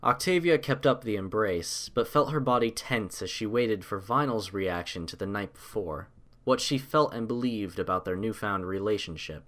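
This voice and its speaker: A man's voice: fairly low pitch, animated, moderate pace, fairly bright tone. A man reading scripted story narration as the narrator of an audio tale.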